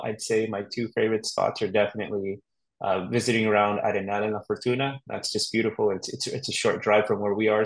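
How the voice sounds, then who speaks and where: A middle-aged man from Honduras talks casually as an interview guest. His voice sits a little low, and he rambles easily, with plenty of fillers and restarts. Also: vocal fry, low-quality recording. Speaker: real estate agent guest.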